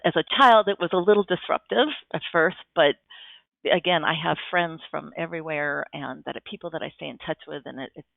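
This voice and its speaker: A woman's voice: sits low, vocal fry, no room sound. A woman speaking Japanese as her first language, a podcast guest giving thoughtful, enthusiastic answers with frequent restarts, heard over a tinny line. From United States. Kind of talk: casual interview answers.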